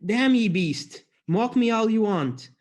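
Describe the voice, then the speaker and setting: A man voicing a short, stocky, defiant fantasy crossbowman in a story reading, with gruff, rustic old-fashioned speech. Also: high-pitched, expressive, slow, voice pushed up. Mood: defiant anger.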